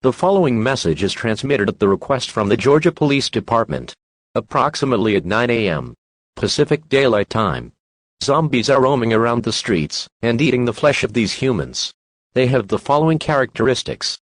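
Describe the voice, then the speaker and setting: A man's voice: medium pitch, sing-song, moderate pace, no echo. A man reading a scripted emergency broadcast announcement in a formal, synthetic-sounding manner.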